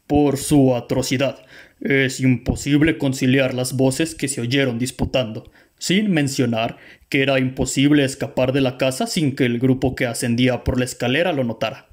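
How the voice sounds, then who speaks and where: Man as a flat-toned, skeptical police chief. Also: mid-range voice, moderate pace, close to an everyday voice. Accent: Mexican. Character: stiff skeptical male police chief, baffled and formal. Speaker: amateur actor in a radio play. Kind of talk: radio drama acting.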